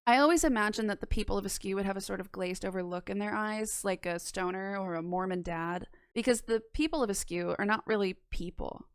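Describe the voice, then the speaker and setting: A woman's voice: mid-range voice, fairly quick, hardly any pauses, clear, resonant tone, dry recording. A young woman narrating a review like a video essayist, conversational and wry, close to the mic. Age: young adult. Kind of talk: semi-scripted review narration with casual asides. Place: small indoor room. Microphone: close microphone on a boom arm.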